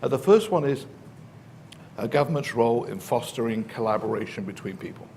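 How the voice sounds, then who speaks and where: A man's voice: medium pitch, lively intonation, brisk, darkish tone, a little hiss or hum. A man with an English accent, speaking as a professor on a conference panel, explaining at length with many fillers and false starts.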